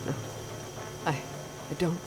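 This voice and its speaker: An actress playing a distraught grown woman haunted by a repressed memory, speaking in a hushed, halting, anguished way in a dramatic video game. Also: natural voice. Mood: bitter, hushed. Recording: clearly noisy ambience behind the voice.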